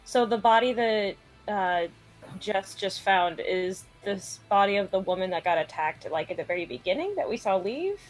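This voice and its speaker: A woman's voice: mid-range voice, lively intonation, normal speed, low-quality recording. A woman chatting casually as a player in a tabletop role-playing game. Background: music in the background.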